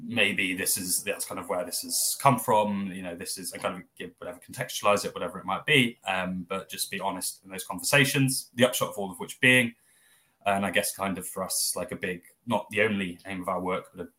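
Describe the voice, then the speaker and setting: A man's voice: low-pitched, pushed and bright. A man, giving a long interview answer in a loose, rambling way with many fillers. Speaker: interview guest, press office founder. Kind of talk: casual spoken interview.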